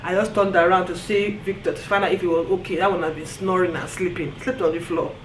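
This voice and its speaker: A woman with a Nigerian accent telling a story on her vlog in an animated way, often breaking into laughter. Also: lowish voice, fast talker, slight background noise. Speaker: vlogger. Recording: roomy echo, faint ambience.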